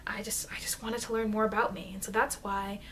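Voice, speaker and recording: A woman playing a chatty, informal immortal vampire teacher in an ASMR roleplay, rambling hesitantly and confidingly, with soft dips into whispering. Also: medium pitch, flat delivery, a little fast, close to an everyday voice. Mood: confiding, defensive. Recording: noisy amateur microphone.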